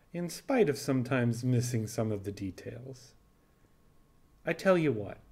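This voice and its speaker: Male narrator as animal starship captain, pirate, kindly, persuasive, frank, measured, mid-pitched, audiobook character. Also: natural voice. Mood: understanding, reassuring.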